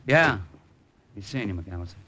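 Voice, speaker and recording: A tough, cynical gambler played by a male actor in an old radio drama. The voice sits low and talks in terse, hard-boiled slang, with a soft spot underneath. The recording is old and has light noise behind it. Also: animated, moderate pace, natural voice. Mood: resigned, dry. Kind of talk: 1950s radio drama acting.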